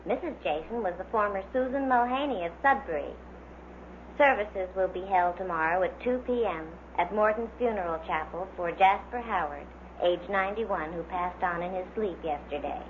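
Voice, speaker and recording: A woman's voice: mid-range voice, moderate pace. A woman working as a radio announcer, reading scripted items in a vintage broadcast style. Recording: dull old radio recording with hiss.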